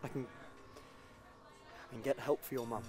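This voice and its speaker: An actor playing an anxious, defensive British politician in a naturalistic audio drama, speaking in a wary, halting way. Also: male voice, medium pitch, steady tone, lightly acted. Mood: panicked, defensive. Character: anxious, guilt-ridden man in politics, fearing for his life.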